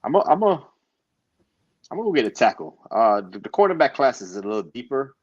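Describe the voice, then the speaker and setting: A man's voice: medium pitch, sing-song, brisk, a little mellow, lo-fi sound. A man co-hosting a draft show, chatting casually and thinking aloud as he makes his picks. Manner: laid-back, hesitant, thinking aloud. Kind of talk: casual mock draft chat.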